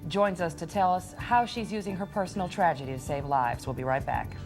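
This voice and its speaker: A woman's voice: on the low side, a little fast, loud music behind the voice. A woman with an American accent, reading scripted news as a television anchor.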